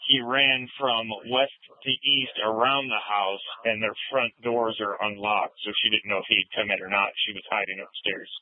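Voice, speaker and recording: A man speaks as a police officer giving reports over two-way radio. His voice is on the low side, and the scanner sound is very sharp and forceful. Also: fairly even intonation, normal speed, few pauses, dry recording, low-quality recording.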